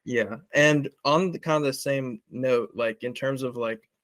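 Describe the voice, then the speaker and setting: A man's voice: mid-range voice, measured pace, a bit bright, dry recording. Young man, casual and dry. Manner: casual, heavy "like" fillers. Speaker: interviewer, project creator. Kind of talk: casual interview chat.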